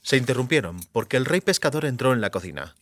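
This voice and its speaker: A man's voice: medium pitch, very animated, quick pace. A man narrating a fantasy novel as scripted audiobook narration.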